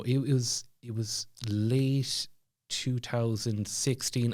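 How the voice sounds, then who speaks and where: Low-voiced Irish man, chatty and self-deprecating. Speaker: podcast guest, stand-up comedian. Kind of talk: casual storytelling chat.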